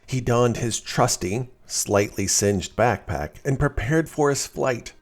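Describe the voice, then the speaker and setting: A man reading a scripted children's story as narrator, in an expressive storytelling style. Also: mid-range voice, slow, soft background music.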